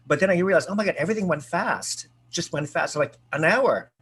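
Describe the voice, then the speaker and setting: Excitable husky-voiced man hosting a video chat. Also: on the high side, brisk. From United States. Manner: chatty, excitable, many fillers and restarts. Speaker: host. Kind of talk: casual video call chat. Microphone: video call.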